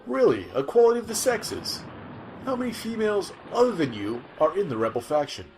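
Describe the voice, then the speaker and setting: A man acts out an excitable field reporter in a comic sci-fi radio play. He is clueless and pushy, with a mid-range voice that swings up and down. Some noise sits behind him. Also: mid-range voice, fast talker, natural voice, rough recording. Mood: incredulous. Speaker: news reporter interviewer. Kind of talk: amateur comic audio drama narration.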